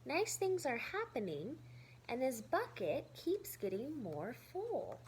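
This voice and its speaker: A woman reads a children's story aloud as a teacher would, pausing to explain things simply. Her voice is fairly high and very animated, at an unhurried, slow pace. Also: a little hiss or hum. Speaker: teacher reading aloud to pupils. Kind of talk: expressive children's story read-aloud with asides.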